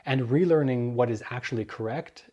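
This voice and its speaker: A man's voice: mid-range voice, normal speed, warm tone, muffled recording. A young man in his twenties who grew up in Germany, talking earnestly in a vlog and explaining and giving direct advice. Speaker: vlogger.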